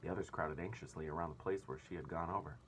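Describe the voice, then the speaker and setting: A man's voice: low voice, fast, fairly bright tone, a little hiss or hum. A man reading a children's story aloud as narrator, expressively.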